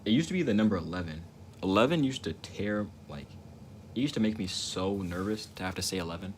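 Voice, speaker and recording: A man's voice: deep voice, expressive, brisk. A young man with a stutter chatting casually on a livestream while he paints, rambling and reflective, repeating words and restarting sentences. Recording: noticeable ambient noise.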